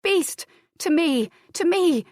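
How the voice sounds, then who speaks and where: A woman voicing a proud young noblewoman who gives cold, sharp commands. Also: fairly high pitch, lively intonation, normal speed, dry recording, studio quality, pitched above the performer's own voice, lightly acted. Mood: shouting.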